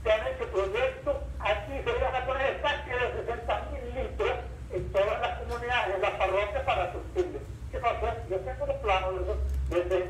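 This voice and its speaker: High-pitched older Venezuelan man, echoey and distant. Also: normal speed. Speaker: audience participant, veteran waterworks worker. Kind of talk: impromptu public comment, recounting experience. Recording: echoey room, distant mic, faint noise.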